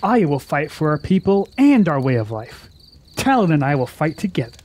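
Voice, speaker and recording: A man's voice: fairly high pitch, very animated, moderate pace, darkish tone, a little hiss or hum. An actor playing an eager, boastful young man who longs to be a warrior, with formal phrasing, in a fantasy radio drama. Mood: bold. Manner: earnest, formal archaic phrasing.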